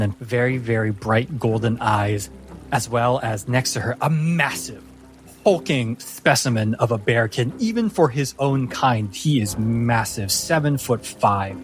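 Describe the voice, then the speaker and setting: A man working as game master, narrating a tabletop fantasy game dramatically with suspenseful, deliberate phrasing. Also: mid-range voice.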